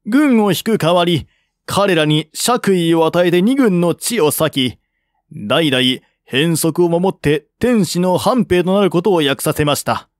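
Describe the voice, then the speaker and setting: Audiobook envoy man performing: respectful, reasoning, formal, slow delivery, dry sound. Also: high-pitched, lively intonation, dry recording, voice pushed up. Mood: earnest, deferential. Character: deferential, reasoning male envoy reporting to his prince. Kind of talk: audiobook character reading.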